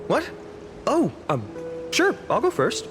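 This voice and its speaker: An eager young hero, swooping expressively. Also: male voice, very high voice, wildly swooping pitch, slow, exaggerated voice. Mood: puzzled, hesitant. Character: earnest, boyish young hero, eager childhood friend. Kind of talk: video game voice acting. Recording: background music under the voice.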